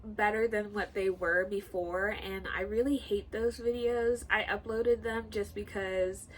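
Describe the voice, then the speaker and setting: A woman's voice: medium pitch, a bit bright, a little hiss or hum. A young woman in her twenties, nasal and congested, chatting casually in a sit-down vlog and rambling with lots of fillers. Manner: chatty, rambling, full of likes and ums. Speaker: YouTuber. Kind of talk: sit-down get to know me vlog.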